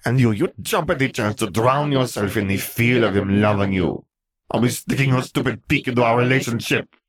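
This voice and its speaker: A man's voice: low-pitched, fairly quick, no echo, clean studio sound. A man voicing a jealous, hot-tempered pregnant young woman, part bird, who sounds sulky and accusing. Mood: furious, jealous.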